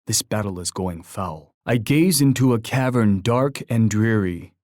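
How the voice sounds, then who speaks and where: An actor playing a proud, warlike chief in a video game, speaking in solemn, formal, poetic oratory. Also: male voice, fairly low pitch, expressive, unhurried, a little mellow, no room sound, clean studio sound. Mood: grave, lamenting. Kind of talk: scripted video game character voice lines.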